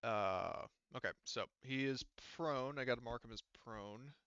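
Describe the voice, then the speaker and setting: A man's voice: medium pitch, measured pace, fairly bright tone, clean tone, dry recording, muffled recording. A man acting as game master, patiently explaining the rules of a tabletop roleplaying game step by step.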